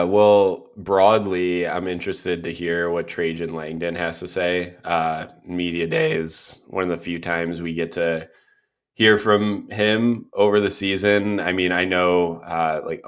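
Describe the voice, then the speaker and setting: An American man co-hosting a casual sports podcast, sounding a little congested, relaxed and laughing easily. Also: low-pitched, unhurried, clean tone. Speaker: podcast co-host.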